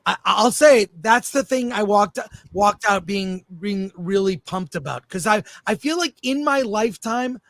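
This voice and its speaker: Male livestream host, high-pitched voice, casual chat delivery, dry close sound. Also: expressive, a little slow, gravelly, few pauses, fairly bright tone, dry recording. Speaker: talk show host.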